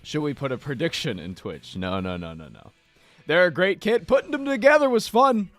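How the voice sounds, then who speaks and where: A man with a slightly hoarse voice, hosting a casual livestream where he paints miniatures and chats playfully with viewers. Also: on the high side, expressive, normal speed, fairly bright tone. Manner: playful, chatty, addresses chat directly. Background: faint background music.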